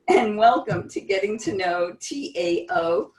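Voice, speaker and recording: A woman's voice: medium pitch, slow, darkish tone. A woman hosting a casual online interview, chatty and laughing often. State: sore from broken ribs. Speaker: interview host. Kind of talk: casual video-call interview. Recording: echoey room, far from the mic.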